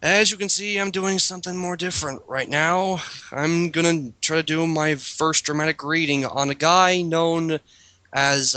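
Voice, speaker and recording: A man's voice: a little high, sharp tone, no room sound. A man hosting a group dramatic reading, talking casually as he introduces things and comments with friends.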